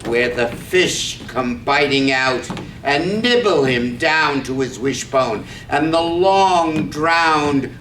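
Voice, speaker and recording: A man narrating a poetic play from a script, deliberately, phrase by phrase. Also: expressive, a bit bright. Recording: roomy, far mic, faint rumble.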